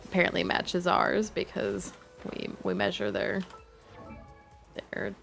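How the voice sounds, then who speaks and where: A woman's voice: mid-range voice, little pitch movement, slow pace, gravelly, fairly bright tone. A young woman streaming a game, chatting in a rambling, giggly way that often interrupts itself. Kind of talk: casual chat while gaming. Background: game audio and music.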